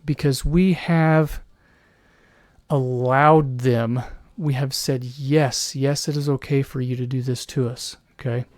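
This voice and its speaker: A man talking alone on his podcast with a hoarse, worn voice, sometimes clearing his throat. He rambles and restarts his sentences, now and then slipping into a mocking put-on voice. The sound is dry and close, with no room around it. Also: medium pitch, steady tone, slow pace, darkish tone, no echo. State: losing his voice. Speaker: podcast host. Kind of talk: solo commentary podcast.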